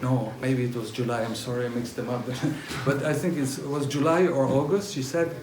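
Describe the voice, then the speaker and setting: A man from northern Italy whose first language is German, giving a religious testimony to a pilgrim group in halting English full of fillers. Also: mid-range voice, fairly even intonation, reverberant, noisy background. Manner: halting, searching non-native English with fillers. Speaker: pilgrimage speaker. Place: gathering at a castle.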